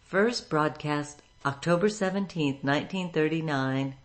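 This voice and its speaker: A woman's voice: low voice, unhurried. A woman radio host reading a scripted introduction to a classic show.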